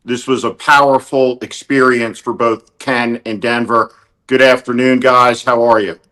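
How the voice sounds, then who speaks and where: A man hosting a live news interview, measured and asking direct questions. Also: medium pitch, steady tone, pushed and bright. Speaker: host.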